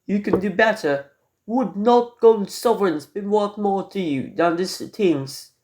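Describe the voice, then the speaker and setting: A man's voice: high-pitched, measured pace, a little mellow, voice pushed up. A man voicing a scheming, unhappy lord's wife in an amateur radio drama, with a coaxing, defensive manner.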